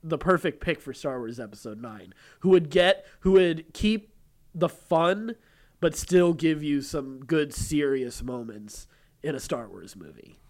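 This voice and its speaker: A man's voice: a little high, animated, measured pace, a little mellow. A man co-hosting a podcast, casually discussing film news and often restarting his phrases. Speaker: podcast co-host.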